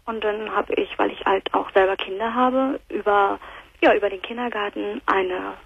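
A woman in her thirties from Berlin, calling in to a radio talk show and speaking softly and hesitantly, with many fillers. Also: medium pitch, fairly even intonation, moderate pace, vocal fry, breathy edge, no room sound. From Berlin, Germany. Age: 30s. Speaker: caller. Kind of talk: phone-in conversation. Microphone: telephone. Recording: muffled phone line.

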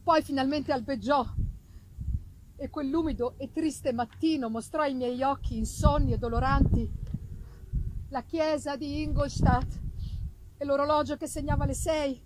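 A woman gives a dramatic, theatrical reading of a Gothic novel, with deliberate phrasing and strong emphasis. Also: high voice, fairly even intonation, background noise. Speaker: reader performing a literary text.

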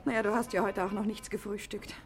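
A woman's voice: medium pitch, flat delivery, fast, lightly acted. An actress playing a young, naive gangster's sweetheart in a 1950s German radio play, coaxing and fretful with girlish exclamations. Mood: coaxing, doubtful. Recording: noisy vintage radio play recording.

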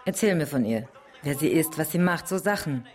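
A woman's voice: deep voice, lively intonation, moderate pace. A worldly female escort in a radio drama, with faint music behind her. She is self-assured, cool and knowing. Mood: businesslike, probing. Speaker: actor in a German radio drama.

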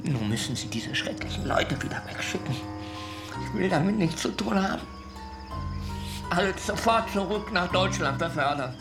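A higher-voiced, agitated, high-strung man. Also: expressive, moderate pace, long pauses, music in the background. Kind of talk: radio drama acting.

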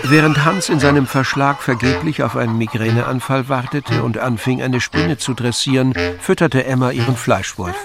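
A man narrates a literary story in a gravelly, creaky voice of medium pitch. Music plays clearly behind his scripted reading. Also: normal speed, few pauses.

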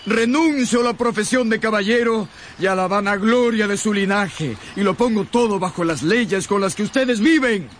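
A man playing a lovestruck young gentleman in a radio drama, with a declamatory, courtly delivery. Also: sits high, normal speed, slight background noise, close to an everyday voice. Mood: solemn. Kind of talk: radio drama acting, verse recitation.

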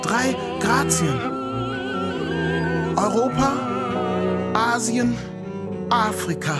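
Radio play: incantatory visionary woman, extremely drawn-out speech, loud operatic music underneath. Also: fairly high pitch, animated. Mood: dreamy. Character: dreamy female seer describing a vision. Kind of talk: theatrical radio drama. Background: loud classical opera music.

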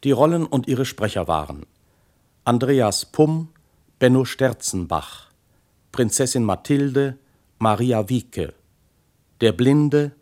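Slow, formal male radio announcer. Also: medium pitch, very animated, a little mellow. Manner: formal, measured. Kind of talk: scripted broadcast credits.

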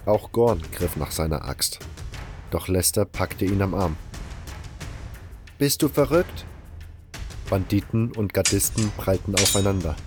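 A man's voice: deep voice, moderate pace, darkish tone. A man narrating a scripted fantasy story in the first person as its narrator. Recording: music behind the voice. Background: music.

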